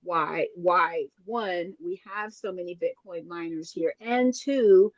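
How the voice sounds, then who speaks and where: A woman's voice: fairly low pitch, slow, no room sound, lo-fi sound. A woman from Texas hosting a chat, talking casually with plenty of fillers. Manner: chatty, with many fillers. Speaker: host.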